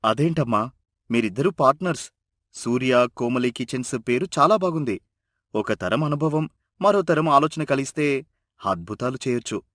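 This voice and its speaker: A man's voice: mid-range voice, lively intonation, normal speed, long pauses, a bit bright, dry recording, lightly acted. Man acting affectionate family man, dry close sound. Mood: encouraging. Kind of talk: dramatised moral story dialogue.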